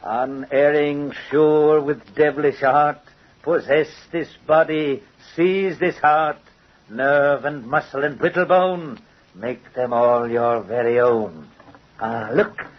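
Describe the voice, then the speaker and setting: An actor playing a vengeful, gloating doll-maker in old-time radio horror, with a theatrical, menacing and mocking manner. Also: male voice, on the high side, slow. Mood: menacing, exultant. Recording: husky, muffled old radio recording.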